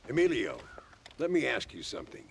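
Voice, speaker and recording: An actor playing a grizzled, folksy old gunslinger with an American accent and a wordy, theatrical drawl in a western game. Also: male voice, mid-range voice, wildly swooping pitch, fairly quick, full character voice. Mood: genial. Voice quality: gravelly. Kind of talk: western video game acting.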